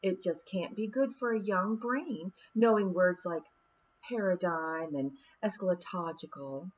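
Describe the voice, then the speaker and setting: A woman's voice: lowish voice, measured pace, dull audio, a little hiss or hum, close to an everyday voice. A silky, taunting queen, as a woman reads her. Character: cold, cruel fairy queen, woman, sneering and mocking. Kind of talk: audiobook character voice.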